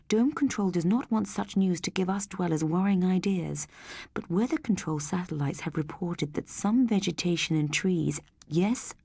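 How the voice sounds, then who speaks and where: A thoughtful, self-satisfied husband from a futuristic audiobook tale, voiced by a woman narrator. He speaks in a measured, confiding way, low and close in a dry recording. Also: darkish tone, breathy edge, no echo, exaggerated voice.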